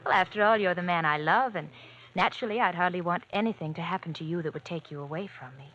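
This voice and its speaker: An actress playing a rich young woman in an old radio drama, coy, sugary and quietly scheming. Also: fairly low pitch, quick pace, close to an everyday voice. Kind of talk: 1940s radio acting. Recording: dull old radio recording, faint hiss.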